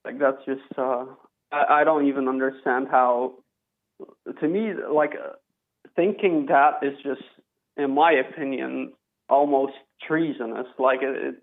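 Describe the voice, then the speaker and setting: A young man from Flanders in Belgium calling in to a talk show, speaking haltingly with many fillers and restarts. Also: medium pitch. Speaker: caller. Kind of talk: phone-in call. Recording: tinny phone line.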